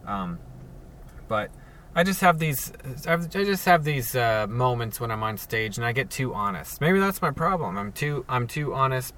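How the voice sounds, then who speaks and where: A man hosting a casual solo podcast from his parked car, speaking in a monotone with many halting fillers and restarts. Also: medium pitch, far from the mic, slight background noise. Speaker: podcast host. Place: sitting alone in a parked car.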